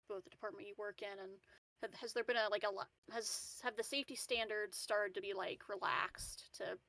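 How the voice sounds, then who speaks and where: A woman with a medium-pitched voice speaks as a tabletop game player. Her questions come out casual and halting, with repeated words, in a dry, close recording. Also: fairly bright tone, dry recording, low-quality recording.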